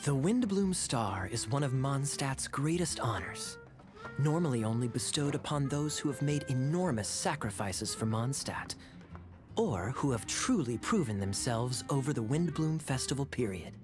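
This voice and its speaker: A man voicing a smooth, teasing male knight captain in a video game dub. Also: medium pitch, expressive, normal speed, music in the background, close to an everyday voice. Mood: wry.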